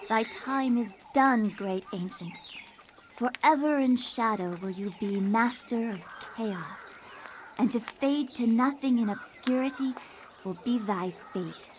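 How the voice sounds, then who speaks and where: A woman playing a female character in a dark horror fantasy video game, with theatrical cutscene delivery. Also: on the high side, takes it slowly, slight background noise. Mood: solemn, condemning.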